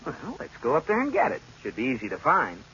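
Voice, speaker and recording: An actor playing an excitable, easily exasperated husband with an emphatic, blustering manner in a 1940s radio sitcom. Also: male voice, medium pitch, fairly quick. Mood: grumbling. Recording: scratchy muffled old-time radio recording.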